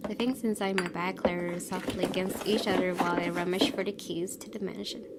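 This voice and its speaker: A woman's voice: on the low side, fairly even intonation, a little fast, heavy background noise. A woman reading visual novel narration aloud expressively as a gaming video host. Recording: clear music under the voice.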